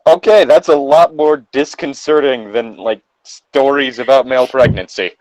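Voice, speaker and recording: A man chatting casually and rambling through halting asides as he co-hosts a read-aloud show over a voice call. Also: mid-range voice, a little mellow, dry recording, low-quality recording. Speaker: co-host of a fan fiction reading show. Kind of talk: casual banter and commentary while reading aloud. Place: dry, close setup on a remote voice call.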